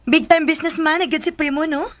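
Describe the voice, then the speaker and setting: Young niece, sweet and admiring, high voice, radio drama, Cebuano Filipino accent. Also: female voice, fairly even intonation, quick pace, a bit bright. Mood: eager, admiring. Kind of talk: Philippine radio drama acting.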